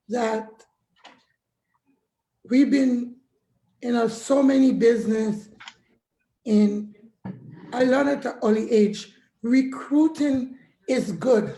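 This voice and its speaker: Hoarse woman speaking slowly on a call. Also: fairly high pitch, warm tone, echoey room, rough recording. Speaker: guest caller. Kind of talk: casual testimonial. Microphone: video call.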